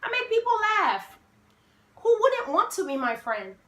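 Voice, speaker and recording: A woman's voice: very high voice, wildly swooping pitch, unhurried, fairly bright tone, roomy sound. A woman in her twenties from Haiti hosting a casual chat video with her brother, playful and self-assured, laughing often. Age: 20s. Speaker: vlogger host.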